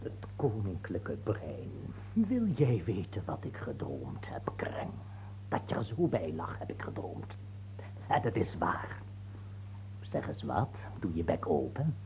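A man's voice: low-pitched, huge pitch swings, slow, whispered, full character voice. An actor playing a bitter male courtier in a radio drama, gloating and contemptuous, with a muttering delivery. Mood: hushed, gloating. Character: bitter male courtier gloating over his dead king. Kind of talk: theatrical radio drama monologue. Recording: muffled, faintly humming old radio recording.

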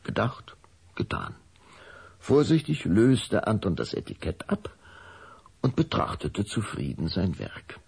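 Very low, husky male narrator. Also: a little mellow. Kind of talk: scripted audio drama narration.

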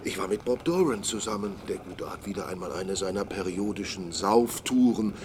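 A man's voice: low-pitched, moderate pace, background noise, lightly acted. A man playing a sociable, nosy acquaintance in a radio drama, rambling through anecdotes in a conversational way. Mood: chatty. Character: chatty gossiping male acquaintance, sociable and nosy.

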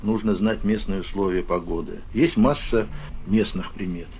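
A man with a very low voice speaks as a seasoned explorer in an interview. He is measured and emphatic, and the recording is dull with a faint noise behind it. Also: steady tone, darkish tone. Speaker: experienced polar explorer being interviewed. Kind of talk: reflective, explanatory interview talk.

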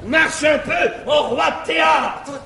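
Very high, creaky, cocky valet. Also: male voice, takes it slowly, over a noisy crowd, exaggerated voice. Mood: bossy. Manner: commanding, swaggering. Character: brash, cocky scheming valet bossing his accomplice. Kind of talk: 1960s French classical stage farce acting. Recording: echoey noisy 1961 theatre recording, audience.

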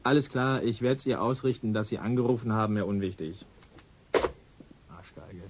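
A man's voice: lowish voice, moderate pace, slightly rough. An actor playing a self-important, mildly grumpy policeman in a radio drama, officious and colloquial. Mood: chatty, officious. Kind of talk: naturalistic radio drama acting.

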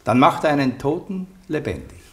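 Gravelly, curious schemer. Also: male voice, a little high, sing-song, a little fast. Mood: eager. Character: curious, scheming man organizing a test. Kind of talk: 1960s German radio play acting.

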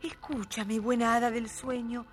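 Lady doing a shy sweet fairy tale girl, highish voice, music underneath. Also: level tone, a little slow, natural voice. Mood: wondering, doubtful. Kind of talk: children's audio storybook acting.

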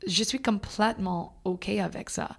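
A young woman from Louisiana whose first language is English, a French teacher talking casually as a podcast guest, halting and full of fillers, slipping in English words. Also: mid-range voice, vocal fry, fairly bright tone. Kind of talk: casual conversation.

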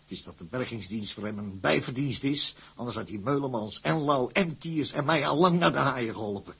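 A man playing a gruff, wary tugboat company director in an audio drama, plain-spoken, with a gravelly, very husky voice. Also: mid-range voice, animated, brisk, a bit bright, exaggerated voice. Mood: warning. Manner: cautious, warning, plain-spoken. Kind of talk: Dutch audio drama acting.

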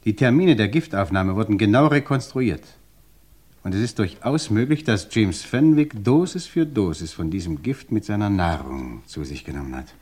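A calm investigator in a radio crime play, pressing a widow with measured, insistent questions. His voice sits fairly low and moves lively up and down as he probes. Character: calm, probing male investigator interrogating a widow. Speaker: interrogator.

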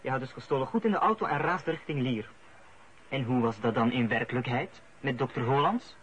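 An actor playing a methodical, coolly goading police interrogator with a Flemish accent in a radio play. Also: male voice, medium pitch, brisk, a bit bright, close to an everyday voice. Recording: dull radio play, faint noise.

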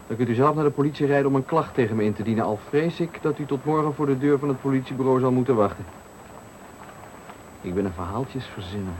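An actor playing a menacing, coolly polite stranger who taunts and gives orders, in radio thriller acting. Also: male voice, mid-range voice, darkish tone, natural voice. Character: menacing male stranger, sadistic trickster at a bus stop. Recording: noisy 1980 radio play recording.

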